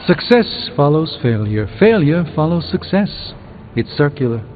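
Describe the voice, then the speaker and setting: Measured, weary sorcerer in long reverb. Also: male voice, fairly high pitch, big pitch swings. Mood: reflective, hopeful. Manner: measured, eloquent, reflective. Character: weary, thoughtful adult male sorcerer and mentor. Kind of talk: video game character dialogue. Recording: muffled phone-like band, long reverb, music behind.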